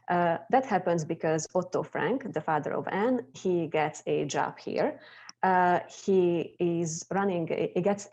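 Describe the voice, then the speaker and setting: A woman's voice: deep voice, a little mellow. A young adult Hungarian woman with a Hungarian accent, presenting an informal educational tour as a guide on a video call.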